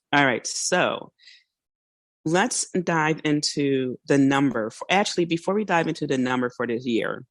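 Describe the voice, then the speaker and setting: A woman hosting a casual solo podcast, chatty and a little hoarse, laughing now and then and acting out small quoted asides. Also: low voice, dry recording. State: slightly hoarse. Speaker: podcast host.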